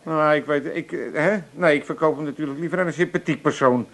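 A man's voice: a little high, fast, a bit bright. An actor playing a crafty, haggling old father with an Amsterdam accent in a radio comedy. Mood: wily haggling. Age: older. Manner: wily, haggling, feigning innocence.

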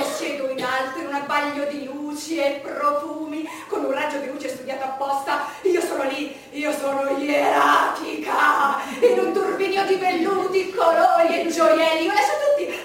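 An actress playing an imperious, vain, solitary English queen in a declamatory stage monologue with dramatic pauses. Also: high-pitched, a bit bright, recorded from a distance. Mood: grandiose, haughty. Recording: noisy, echoey stage recording from afar.

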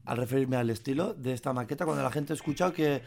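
A man's voice: medium pitch, quick pace, creaky, darkish tone. A man hosting a radio interview, asking questions in a hesitant, stumbling way full of fillers.

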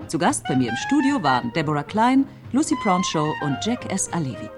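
A woman's voice: on the low side, very animated, fairly quick. An actress playing a poised female radio host, announcing in a polished, formal way over music in a radio drama. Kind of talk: broadcast presenter sign-off in a radio drama.

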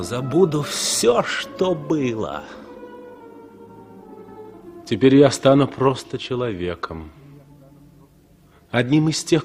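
An actor playing a lonely, disillusioned traveller in a 1960s radio drama, speaking in measured, declamatory phrases. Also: male voice, medium pitch, a little mellow. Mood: brooding, wistful. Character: weary, disillusioned male traveller, brooding and lonely. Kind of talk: 1960s radio drama acting, verse-like interior monologue. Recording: 1960s radio play recording with music. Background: music.

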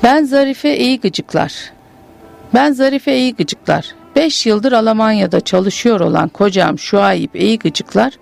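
A woman's voice: a little high, slow pace, vocal fry, a little mellow, faint music behind, exaggerated voice. A woman reading aloud the letter of a poor, desperate wife, in a pleading, plain-spoken manner. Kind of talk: literary reading.

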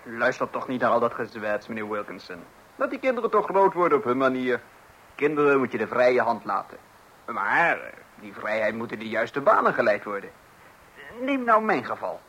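A man's voice: medium pitch, very animated, moderate pace, bright, forceful tone, slightly rough, full character voice, poor audio. An actor playing an opinionated, self-absorbed man in a Dutch radio play. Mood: insistent. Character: opinionated, self-absorbed advocate of free child-rearing.

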